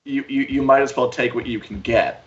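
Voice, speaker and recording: A man's voice: medium pitch, steady tone, brisk, a bit bright. A man co-hosting a casual entertainment livestream, giving opinions with emphatic rhetorical questions. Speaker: co-host of an entertainment news live show. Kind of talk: casual chat. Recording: dull livestream audio with faint noise.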